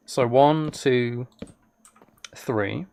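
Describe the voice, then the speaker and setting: Gravelly-edged man chatting on a livestream. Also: mid-range voice, very slow, darkish tone. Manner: hesitant, thinking aloud in fragments. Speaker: live streamer. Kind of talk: casual livestream chat while playing a video game.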